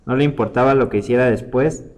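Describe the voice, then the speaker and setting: Male storyteller, medium pitch, reading scripted literary narration. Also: fast, a little mellow.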